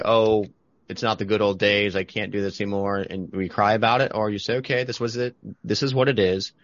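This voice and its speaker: A male podcast host who talks bluntly and poses direct questions in a casual chat. His voice sits on the low side, and the recording is dry and slightly dull. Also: fairly bright tone, no echo.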